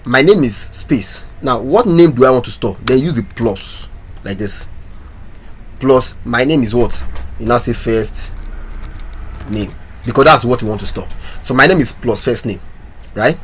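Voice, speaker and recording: A man's voice: mid-range voice, animated, a little slow, long pauses, slight background noise. A man teaching a coding lesson, talking through his code step by step and asking viewers questions. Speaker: programming instructor. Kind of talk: screen-recorded coding tutorial.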